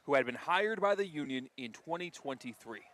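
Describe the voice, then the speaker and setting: A man in his twenties co-hosting a sports radio show, talking in a hesitant, halting way. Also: a little high, expressive, a bit bright. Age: 20s. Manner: hesitant, halting, with many restarts. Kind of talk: casual sports talk. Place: radio studio.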